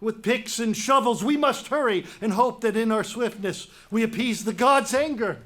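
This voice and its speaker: Casting: man to play stubborn tragic king, defensive and proud, high male voice, halting and emphatic. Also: a bit bright. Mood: urgent. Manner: halting, emphatic, repeats words. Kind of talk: dramatic reading of Greek tragedy.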